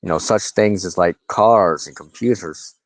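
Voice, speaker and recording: A man giving a rambling, informal monologue to his viewers in a halting, reflective manner, often pausing mid-sentence. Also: deep voice, dry recording. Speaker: host of a personal spiritual channel, wand crafter.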